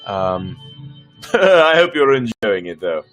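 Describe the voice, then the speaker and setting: A man's voice: fairly low pitch, very animated, slow, fairly bright tone, soft background music. A man chatting casually as host of a live story reading, playful and theatrical in his delivery, poking fun at his own writing. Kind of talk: casual livestream chat.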